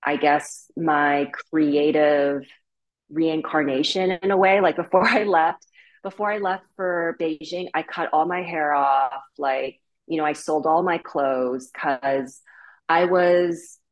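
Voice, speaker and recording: A woman's voice: low-pitched, slow, lo-fi sound. A Canadian woman in her thirties, a guest sharing her life story in a candid, casual way with lots of fillers. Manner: candid, many fillers like "like" and "you know". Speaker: interview guest.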